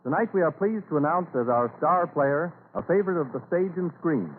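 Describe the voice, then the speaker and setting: A man's voice: on the high side, lively intonation, a little fast, over loud music. A man announcing a 1930s radio program from a script in a formal, declamatory manner. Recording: tinny, noisy old radio recording.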